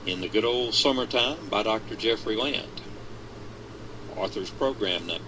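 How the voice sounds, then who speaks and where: Man, low voice, article reading, measured delivery, clear background hum. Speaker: reader of the article.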